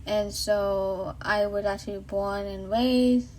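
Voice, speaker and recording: A woman's voice: medium pitch, steady tone, takes it slowly, darkish tone, a little hiss or hum, poor audio. A woman in her late thirties from the Boston area, with a Boston accent, telling her personal faith story in an earnest, rambling way with many fillers and restarts, recorded on a phone. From Boston area, USA. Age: late 30s. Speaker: vlogger sharing her faith testimony. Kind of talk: casual spoken testimony. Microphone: iPhone.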